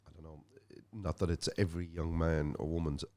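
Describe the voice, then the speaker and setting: A man's voice: rumbling low, fairly even intonation, brisk, a little mellow. A middle-aged man from Ireland with a Dublin accent, chatting as a guest musician, rambling and restarting his phrases. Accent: Dublin Irish. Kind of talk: casual interview chat.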